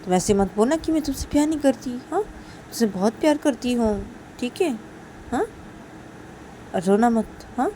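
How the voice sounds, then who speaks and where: A woman's voice: fairly high pitch, lively intonation, normal speed, long pauses, mellow, a little hiss or hum, voice pushed up, natural voice. A woman voicing an affectionate young woman who soothes and scolds, repeating herself insistently in a storytelling recording. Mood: tender, apologetic. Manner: insistent, repeating, tag questions.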